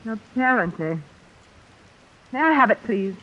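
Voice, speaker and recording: Self-possessed woman suspect, expressive vintage radio. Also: fairly high pitch, a little slow, a little hiss or hum. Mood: cool, insistent. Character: self-possessed, tough-minded young woman murder suspect. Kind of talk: 1940s radio suspense acting. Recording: dull vintage radio recording.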